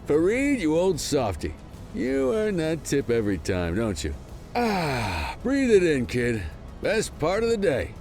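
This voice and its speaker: A middle-aged American street cop from a video game, easygoing and wisecracking. His folksy, wry delivery sits fairly high for a man and swings widely in pitch. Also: gravelly, a little mellow, exaggerated voice. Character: easygoing, wisecracking veteran male street cop mentoring a rookie. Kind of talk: video game cutscene acting.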